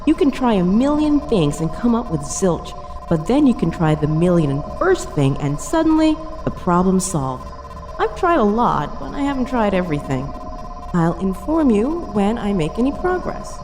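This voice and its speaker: A woman's voice: medium pitch, big pitch swings, normal speed, close to an everyday voice. A woman playing a cool, analytical senior starship officer in a sci-fi audio drama, dry and precise in manner. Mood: matter-of-fact. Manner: dry, precise, matter-of-fact.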